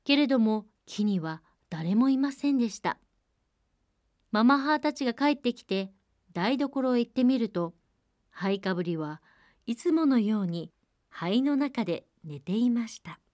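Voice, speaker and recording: A woman's voice: on the high side, lively intonation, unhurried, a little mellow, no room sound. Woman storyteller, close dry sound. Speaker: storyteller and programme co-host. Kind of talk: fairy-tale narration for radio.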